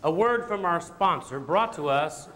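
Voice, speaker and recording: A man's voice: on the high side, lively intonation, a little slow, noisy background. A man speaking to a live audience as the host of a stage show in a large hall. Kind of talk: hosting speech to a live audience. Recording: reverberant hall recording.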